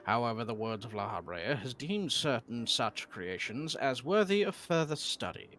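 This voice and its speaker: A man's voice: mid-range voice, very animated, moderate pace, a bit bright, slight background noise. A man doing a stiff, courtly male official. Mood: stern. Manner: stiff archaic courtly phrasing. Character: formal male official guarding a research facility. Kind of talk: voicing game dialogue on a live stream.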